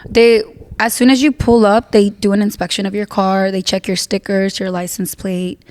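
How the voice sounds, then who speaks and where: A woman hosting a podcast in casual chat, her voice a bit raspy, laughing often and sometimes switching into Spanish. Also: mid-range voice, flat delivery, normal speed, darkish tone. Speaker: podcast host.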